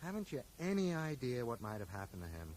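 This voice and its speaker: A man's voice: mid-range voice, big pitch swings, fast talker, a little mellow, lightly acted. An actor playing an earnest, polite and persistent man who questions people tactfully in a radio drama. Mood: concerned, curious. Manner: tactful but probing. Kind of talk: 1980s radio drama acting. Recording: noisy 1980s radio broadcast recording.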